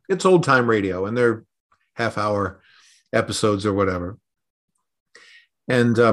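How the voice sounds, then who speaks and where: A man talking conversationally on a podcast as a consultant being interviewed, explaining things in a measured way. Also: lowish voice, a bit bright.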